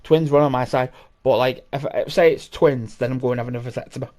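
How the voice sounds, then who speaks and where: A man in his thirties hosts a laid-back podcast chat. He swears freely and rambles, often restarting his sentences. His voice sits at an ordinary middle pitch. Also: fairly even intonation, fast talker, darkish tone. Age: 30s. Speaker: podcast host. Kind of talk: casual chat.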